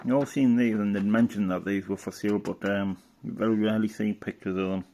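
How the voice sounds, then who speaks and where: A man with a fairly deep, very gravelly voice talks casually through material as he browses it. His delivery is unscripted and halting, sometimes muttered, with phrases trailing away. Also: steady tone, mellow, slight background noise. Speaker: hobbyist presenter. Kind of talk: casual unscripted commentary while browsing a catalogue.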